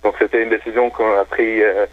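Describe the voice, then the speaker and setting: A man's voice: on the low side, little pitch movement, a little fast. A man whose first language is Arabic, calling in to a radio show and chatting casually in French with many hesitations. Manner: enthusiastic, hesitant with many fillers. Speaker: phone-in guest. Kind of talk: casual radio phone chat. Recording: tinny phone line, dry.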